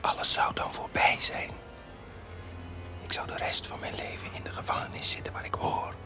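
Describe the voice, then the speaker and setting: An actor as tormented guilt-ridden soul, hushed breathy whisper, radio play monologue, music behind. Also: normal speed, lightly acted. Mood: anguished, despairing. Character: anguished child of Nazis, tormented by inherited guilt.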